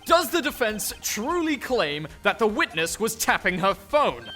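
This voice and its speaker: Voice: mid-range voice, big pitch swings, unhurried, bright, forceful tone, soft background music. A performer voicing an arrogant young male prosecutor who talks in a haughty, cutting way. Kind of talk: fan dub of a game.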